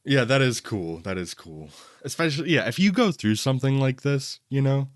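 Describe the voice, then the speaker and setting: A man's voice: medium pitch, big pitch swings, moderate pace, no room sound, studio quality. A man co-hosting a podcast, talking casually and chattily about movies. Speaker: podcast co-host.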